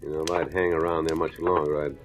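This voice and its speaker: An actor playing a skeptical, bullying police captain in a 1940s radio crime drama, sardonic and gruffly commanding. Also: male voice, rumbling low, flat delivery, fast. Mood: weary, brooding. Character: hard-boiled male police captain, skeptical, bullying interrogator. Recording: dull old radio recording with music.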